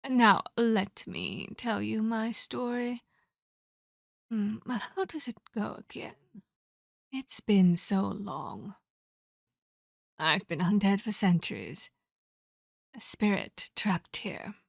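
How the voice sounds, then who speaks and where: A woman voicing the centuries-old ghost of a murdered girl in a horror ASMR roleplay, eerie, menacing and wistful, speaking deliberately with breathy, whispery delivery. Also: mid-range voice, dry recording, clean studio sound. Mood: commanding, menacing, then wistful, nostalgic. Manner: slow, deliberate, theatrical storytelling.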